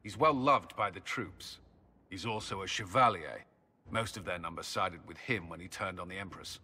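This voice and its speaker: An actor playing an earnest, dutiful army commander in a fantasy game, firm and matter-of-fact. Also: male voice, low voice, normal speed, bright, forceful tone, breathy edge, exaggerated voice. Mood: firm, serious. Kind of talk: dramatic game cutscene dialogue.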